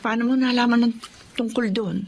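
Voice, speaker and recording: A woman's voice: fairly high pitch, fairly even intonation, normal speed, a little mellow, slight background noise, lightly acted. A woman with a Filipino accent playing a guarded, insecure woman afraid of love in a radio drama, hesitant and plaintive. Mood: guarded, firm.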